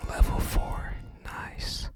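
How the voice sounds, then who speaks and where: A person whispering in a soft, breathy, unhurried ASMR style while narrating a video game and reading its text aloud. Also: loud music behind the voice. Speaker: ASMR gaming video host.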